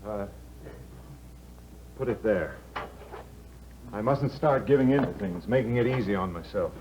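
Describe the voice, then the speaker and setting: A man playing a weary, deep-voiced convalescent husband. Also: measured pace, noisy background, natural voice. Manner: tired, resolute. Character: weary young husband recovering from illness. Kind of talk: 1950s radio drama acting.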